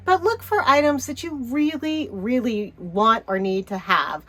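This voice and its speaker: A middle-aged woman with a stuffy, hoarse voice from a cold, chatting upbeat through a shopping wish-list vlog. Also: a little high, a little slow. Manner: chatty, upbeat, with little laughs. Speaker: travel vlogger.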